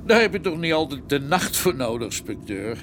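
A man's voice: a little high, big pitch swings, brisk, noisy background. A man playing a middle-aged veteran policeman in a radio drama, deferential, plain-spoken and wry. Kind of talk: Dutch radio drama acting.

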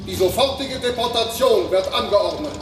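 An actor playing a stern judge in a German radio drama, loudly and formally proclaiming. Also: male voice, high voice, lively intonation, normal speed, over loud music. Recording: echoey, far from mic, noisy.

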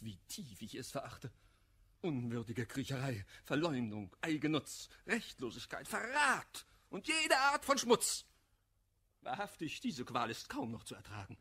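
Hitziger, verbitterter Menschenfeind, wild schwankende Tonlage. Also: male voice, medium pitch, bright, forceful tone, slightly rough, full character voice. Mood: bitter, furious. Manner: declamatory, vehement delivery in rhymed verse. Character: embittered, hot-headed male misanthrope railing against hypocrisy. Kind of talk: classical German radio play acting.

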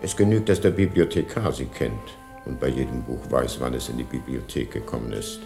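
An actor playing a grave, guarded medieval monk librarian in a measured, formal radio drama style. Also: male voice, a bass voice, vocal fry, over music. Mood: stern, guarded. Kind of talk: German radio drama acting.

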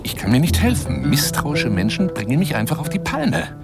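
A man's voice: a little high, huge pitch swings, normal speed, vocal fry, over loud music, full character voice. A man playing a boastful, touchy lion hunter in a radio play monologue, speaking in a wheedling, pompous manner. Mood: condescending, needling. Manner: wheedling, pompous, swinging into whispers. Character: boastful, touchy male lion hunter telling tall tales.

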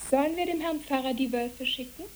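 A woman's voice: high voice, a little fast, close to an everyday voice. A woman playing a dreamy, talkative child in a German radio play, speaking wistfully and lyrically. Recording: hissy old radio play recording.

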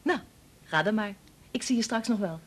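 An actress playing a brisk, capable statistician and mother in a Dutch radio play, firm and gently teasing. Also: mid-range voice, expressive, natural voice. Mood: explaining.

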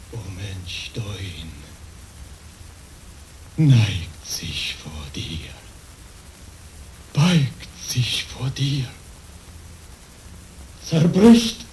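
An actor playing a solemn, humbled samurai knight in an old-fashioned radio play, declaiming haltingly with long pauses. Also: male voice, mid-range voice, warm tone. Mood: hushed reverence, then anguished. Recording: faintly noisy old radio recording.

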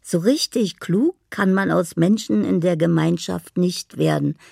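An actress playing a dreamy, poetic woman in a radio drama monologue, musing in a gravelly voice over music. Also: lowish voice, unhurried. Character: dreamy, poetic woman living in her imagination.

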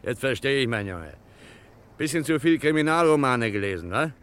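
A man's voice: medium pitch, animated, normal speed, a bit bright, close to an everyday voice. An actor playing a sceptical street policeman in an old radio crime play, dismissive and folksy, tagging on little questions. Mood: sceptical, patronising. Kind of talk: 1950s German radio crime play acting.

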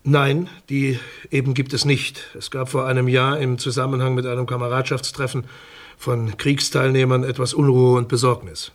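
A male prosecutor played by an actor in a radio crime drama. He has a mid-pitched voice and phrases things formally and carefully, with a dismissive, bureaucratic condescension. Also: flat delivery, normal speed, lightly acted. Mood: defensive, formal. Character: dismissive, formal male state prosecutor defending his findings.

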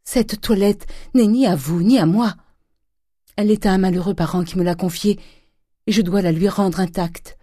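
Woman acting a desperate young daughter, high-pitched pleading. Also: moderate pace, warm tone, no echo, studio quality, close to an everyday voice. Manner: fervent, solemn, insistent pleading.

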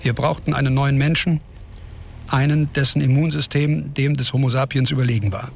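An actor playing a resolute scientist and doctor in a radio drama, speaking solemnly and emphatically in a public-speech style. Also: male voice, mid-range voice, fairly even intonation, brisk, vocal fry, darkish tone, a little hiss or hum, close to an everyday voice. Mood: insistent justification. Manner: solemn, emphatic, repeating phrases. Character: resolute male scientist and doctor defending his experiment. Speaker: scientist and physician character.

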